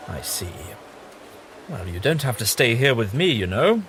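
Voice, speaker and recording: A man's voice: mid-range voice, big pitch swings, moderate pace, a bit bright. A man playing a kindly, bookish, eccentric time traveller with an amiable, slightly absent-minded manner in an audio drama. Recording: audible background noise.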